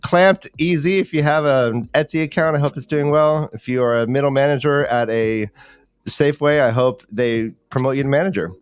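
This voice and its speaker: A man's voice: mid-range voice, moderate pace, clean tone, no echo, studio quality. A man hosting a fantasy football livestream, chatting casually about sports.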